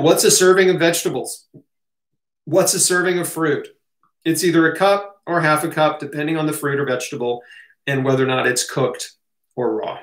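An American man in his thirties or forties, answering audience questions in a casual, opinionated, colloquial way. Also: a little high. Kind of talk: casual Q&A talk to camera. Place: small home office, indoors. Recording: roomy echo of a home room.

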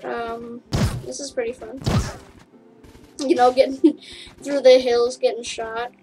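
A woman casually narrating her play of a game for viewers, rambling and chatty, with giggles and excited yelps. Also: a little high, takes it slowly, rough recording. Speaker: gaming YouTuber. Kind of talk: casual let's play commentary. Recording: game music under the voice.

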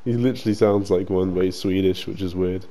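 Casting: exasperated man with a low, mellow voice, swearing in game chat. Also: steady tone, fairly quick. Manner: exasperated, swearing, laughing off insults. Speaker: online game player and recorder. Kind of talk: heated voice chat argument.

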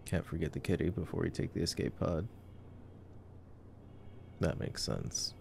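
A man giving casual, playful, wry ASMR commentary as a boyfriend watching a movie, with trailing pauses. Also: low voice, steady tone, vocal fry, a little mellow, a little hiss or hum. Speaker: ASMR roleplay voice actor playing a boyfriend.

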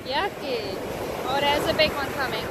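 A woman's voice: high-pitched, moderate pace. A woman vlogging with a handheld phone on an ocean beach, exclaiming and laughing often as she narrates. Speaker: family vlogger filming. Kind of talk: excited casual narration while filming. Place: outdoors on an ocean beach with surf. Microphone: handheld phone camera. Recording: loud surf noise behind.

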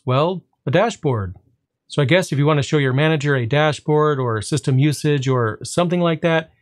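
Man walking through a server dashboard. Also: mid-range voice. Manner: relaxed explanatory, drawn-out "well" before punchlines. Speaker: tech tutorial host. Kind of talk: screen-recorded software walkthrough.

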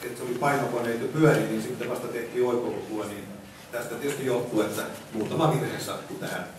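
A man's voice: mid-range voice, fairly even intonation. A man giving an informal presentation to a meeting. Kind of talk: informal presentation of a competition calendar. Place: indoor meeting room, camera in the audience. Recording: echoey meeting room, distant mic, noisy.

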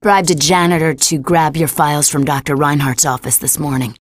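A woman's voice: low-pitched, fairly even intonation, normal speed, no echo, studio quality. An actress playing an earnest, prim woman in a video game cutscene, speaking a little hesitantly. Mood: nervous, urgent. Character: earnest, prim female ally visiting an asylum inmate.

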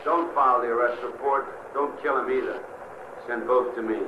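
A man's voice: medium pitch, steady tone, moderate pace, natural voice. An actor playing a cold, domineering male crime boss in a video game, contemptuous and commanding. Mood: contemptuous, impatient. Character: cold, domineering crime boss ordering corrupt police around. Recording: dull echoey distant noisy transmission.

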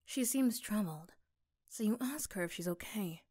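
A woman narrates a scripted roleplay in the second person. Her voice sits at a medium pitch with a dark, relaxed tone, suited to an ASMR story. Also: normal speed.

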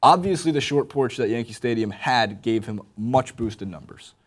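A man's voice: medium pitch, expressive, moderate pace, breathy edge. A young American man hosting a sports panel chat from a studio desk on a lapel mic. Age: young adult. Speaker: sports show host.

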